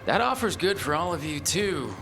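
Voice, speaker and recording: A man's voice: on the high side, big pitch swings, normal speed. A man playing an eager, earnest young fighter in a video game, often questioning his companion. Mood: easy, questioning. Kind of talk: video game dialogue acting. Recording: game music behind the voice.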